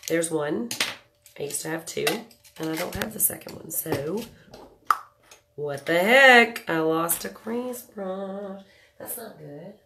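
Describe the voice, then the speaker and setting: Low nasal woman with a cold. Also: takes it slowly, long pauses, sharp tone, slight background noise. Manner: chatty, joking, greets viewers by name. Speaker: makeup livestream host. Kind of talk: casual live makeup tutorial chat. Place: small home room indoors.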